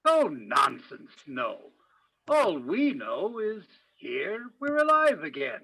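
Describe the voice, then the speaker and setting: A man's voice: a little high, wildly swooping pitch, slow, gravelly, pushed and bright, slightly rough, exaggerated voice, poor audio. A man acting a folksy, friendly old grandfather in a radio play, with a hearty, homespun manner. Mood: reassuring.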